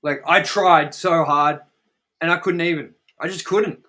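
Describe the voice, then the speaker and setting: Bright-voiced man, blunt and sweary. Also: on the high side, steady tone, unhurried. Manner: blunt, sweary, exaggerating for effect. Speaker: co-host of a movie review show. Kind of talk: casual opinionated film review chat.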